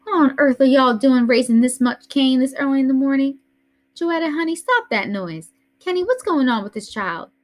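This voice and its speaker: A woman's voice: high voice, expressive, moderate pace, pitched above the performer's own voice. A woman voicing a stern, fussy old grandmother who scolds children early in the morning. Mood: fussing. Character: fussing older grandmother, Southern and stern.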